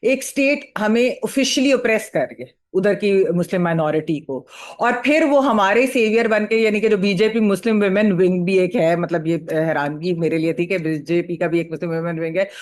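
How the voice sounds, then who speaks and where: Woman, Pakistani accent, panel critic, analytical, halting and discursive, English phrases mixed in. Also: on the low side.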